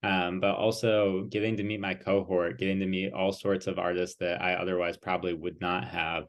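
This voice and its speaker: Male author guest, low voice, thoughtful, hesitant, lots of ums. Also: flat delivery, normal speed. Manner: thoughtful, hesitant, many fillers. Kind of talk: interview conversation.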